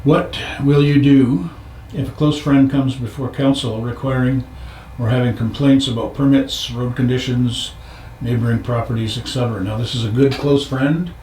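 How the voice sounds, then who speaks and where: A man's voice: mid-range voice, steady tone, normal speed, dark tone, slight background noise. A man hosting an informal interview, with a folksy, easygoing way of phrasing questions. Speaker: interviewer, show host. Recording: echoey room.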